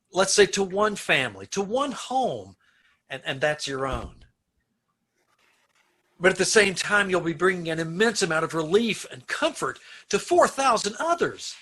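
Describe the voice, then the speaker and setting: A man acts out an earnest, decent prison warden in an old-time radio play. His voice sits slightly high for a man and is bright and forceful. He speaks with deliberate emphasis, as if appealing to a condemned prisoner. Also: husky, heavily acted. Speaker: amateur radio-troupe actor.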